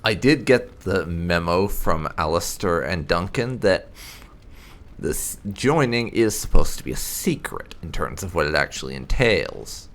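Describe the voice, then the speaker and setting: A man hosting a roleplay video game playthrough, speaking in a measured, theatrical, drawn-out way. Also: low-pitched, lively intonation, fairly bright tone. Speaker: gaming video host. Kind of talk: roleplay video game commentary, voicing own character's lines.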